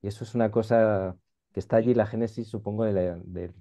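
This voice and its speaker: A man interviewing a playwright over a video call, speaking haltingly with many restarts. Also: lowish voice, steady tone, warm tone, slight background noise. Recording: dull muffled video call audio.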